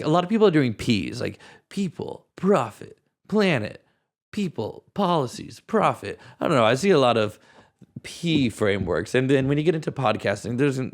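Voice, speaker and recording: A man with a raspy voice, hoarse from a cold, hosting a podcast at home in a casual, rambling way. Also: mid-range voice. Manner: casual, rambling, thinks aloud with restarts. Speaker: podcast host. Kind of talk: solo podcast talk.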